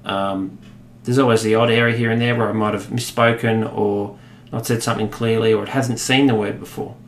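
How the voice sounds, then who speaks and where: Australian man demoing software, echoey room. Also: fairly low pitch, a little fast, a little hiss or hum. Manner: relaxed, explanatory, with frequent fillers. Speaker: product demonstrator. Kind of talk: casual software demo. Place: office room, indoors. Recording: echoey room with faint ambience.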